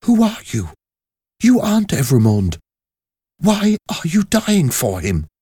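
A man playing a gentle young woman held prisoner, pitched high and earnest. She speaks timidly and pleadingly, in dramatic storybook style. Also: measured pace, no room sound, studio quality. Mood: surprised, searching.

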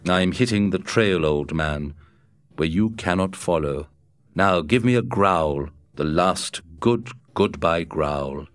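A man's voice: deep voice, slow pace, no room sound. A man voicing a weary frontier master who speaks soberly and curtly. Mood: gentle, sad. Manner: sober, curt.

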